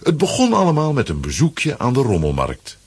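A man's voice: mid-range voice, huge pitch swings, fairly quick, darkish tone, a little hiss or hum. A man narrating a scripted children's audio play as its storyteller. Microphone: close, dry studio microphone.

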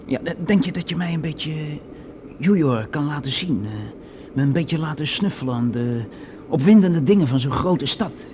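A man plays a curious, coaxing fellow in a radio drama. His voice sits fairly high and he feels his way hesitantly through requests. There is faint noise in the background. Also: animated, normal speed, darkish tone, close to an everyday voice. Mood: coaxing, excited. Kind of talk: Dutch radio play acting.

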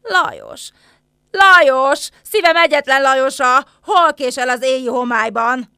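A woman's voice: fairly high pitch, sing-song, dry recording, studio quality. An actress playing a jilted, jealous, lovesick woman in a declamatory theatrical drama. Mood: longing. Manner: declamatory, swooning, swinging from hush to outburst. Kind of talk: theatrical literary radio drama.

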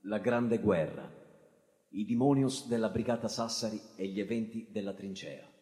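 A man acts out a world-weary war narrator, haunted by memory. The voice is low, solemn and hushed, in slow dramatic stage recitation. It rings in an echoey space with a long reverb tail. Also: close to an everyday voice. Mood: solemn, brooding.

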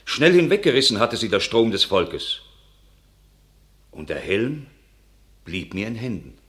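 A man's voice: lowish voice, very animated, measured pace, a bit bright. An actor playing an earnest country man who recounts grim war news with dramatic force in classic radio drama verse. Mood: recounting.

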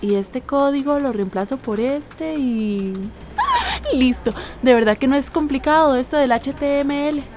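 An actress playing a friendly, caring young internet café worker in a radio drama, with a Costa Rican accent. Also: female voice, high-pitched, a little slow, noisy background. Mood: friendly, flustered. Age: young adult. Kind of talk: naturalistic radio drama acting.

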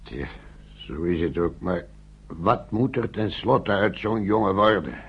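Worried old gent in war radio play, croaky deep voice, hesitant, muffled old recording. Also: male voice, animated, measured pace, full character voice. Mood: grave, subdued. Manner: hesitant, repeating words. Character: worried, hesitant older gentleman weighing heavy wartime decisions. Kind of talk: Dutch radio play acting.

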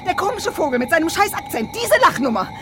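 An actress playing a tough, hot-tempered police investigator who is blunt, commanding and sarcastic, in a radio crime drama. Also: female voice, very high voice, big pitch swings, fast talker, over music. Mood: furious, shouting.

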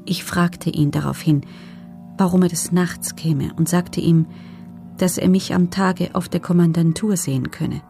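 An actress playing a guarded female prisoner in a documentary radio play, recounting her testimony in a restrained, measured, sober way. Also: on the low side, steady tone, vocal fry, warm tone, faint music behind.

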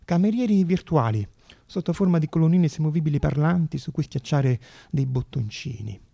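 A male stage actor tells a story in a fairly high voice that crackles with heavy fry. His delivery is theatrical, with deliberate dramatic pauses and a soft, mellow edge. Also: lively intonation.

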